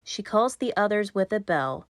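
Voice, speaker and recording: A woman narrator reading children's storybooks aloud in an expressive, scripted style. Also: medium pitch, moderate pace, dry recording, clean studio sound.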